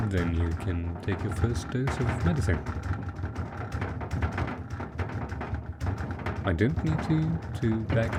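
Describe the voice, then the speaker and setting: A man playing a devoted, tender husband in a post-apocalyptic audio drama, speaking in a gentle, coaxing, husky voice with plenty of endearments. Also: fairly low pitch. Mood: tender anticipation. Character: devoted, tender, hopeful husband caring for ghoul spouse after apocalypse. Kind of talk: roleplay audio drama, intimate monologue addressed to listener.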